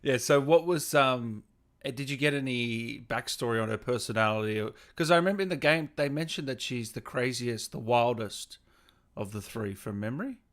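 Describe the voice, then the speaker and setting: A man with a medium-pitched, bright voice hosts an informal gaming interview. His delivery is casual and conversational, like a streamer talking with a guest and viewers. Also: moderate pace. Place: remote video call.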